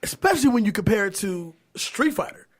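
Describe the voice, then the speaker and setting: A man hosting a casual movie podcast, bantering in an animated, profane way. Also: high-pitched, a little fast. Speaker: podcast host.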